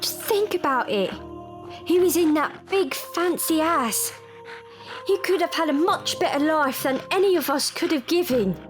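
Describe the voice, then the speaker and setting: A woman playing a reluctant, soft-hearted young London thief in an audio drama, with a weary, pleading manner. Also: sits high, expressive, a little slow, over music. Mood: regretful.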